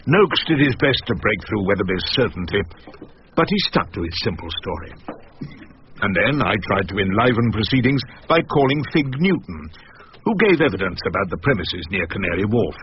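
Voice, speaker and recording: A man's voice: lowish voice, sing-song, normal speed. An actor playing a wry, stubborn older English barrister in a radio drama, rhetorical and drily pompous as he argues and narrates. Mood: wry narrating. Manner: rhetorical, pompous, dryly ironic. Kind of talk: radio drama acting and first-person narration. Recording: muffled radio recording with faint noise.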